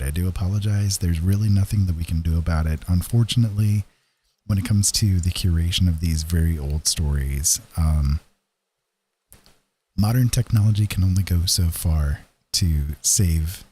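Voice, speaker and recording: A man with a very low, slightly rough voice talks casually to his stream viewers. His delivery is easygoing and rambling, with frequent halts, and his tone stays dark and mellow. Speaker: live streamer, digital painter and storyteller.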